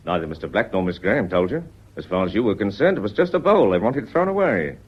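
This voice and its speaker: Sly, low-voiced British police inspector. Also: male voice, big pitch swings, fast talker, husky. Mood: probing, insistent. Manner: folksy, deferential politeness masking pointed questioning. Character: genial, sly male police inspector, politely relentless. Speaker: actor in a radio drama. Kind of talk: British radio drama acting. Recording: dull, lo-fi radio recording.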